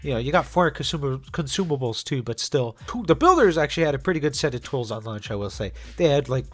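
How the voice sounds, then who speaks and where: A man's voice: mid-range voice, expressive, quick pace, fairly bright tone, soft background music. A young man with a congested voice, narrating a scripted video essay on a game in a casual way, with fillers and restarts. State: congested after being sick. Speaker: gaming YouTuber.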